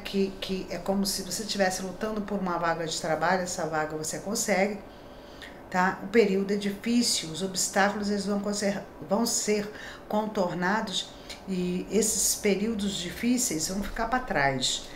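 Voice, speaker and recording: A middle-aged woman talks through a tarot reading at a desk camera, chatty and reassuring. Her voice sits at a mid pitch and carries a clear echo off the small room, with a faint hiss underneath. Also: moderate pace. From Brazil. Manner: chatty, reassuring, tag questions. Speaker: tarot reader. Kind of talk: daily horoscope tarot reading. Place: small decorated room indoors.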